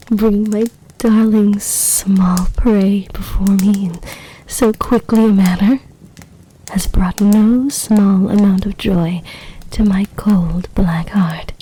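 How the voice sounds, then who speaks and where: A woman playing an imperious, amorous serpent empress in a fantasy audio drama, speaking formally and seductively in a breathy voice that often drops to a whisper. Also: medium pitch, fairly even intonation, mellow, slight background noise, lightly acted, low-quality recording. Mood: pleased, adoring. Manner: slow, commanding, formal, seductive. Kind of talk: romantic fantasy audio roleplay.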